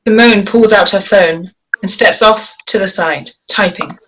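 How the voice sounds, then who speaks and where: A woman reads stage directions as narrator at a table read. Her voice is medium in pitch. There is faint noise in the background. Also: a little slow, fairly bright tone, poor audio.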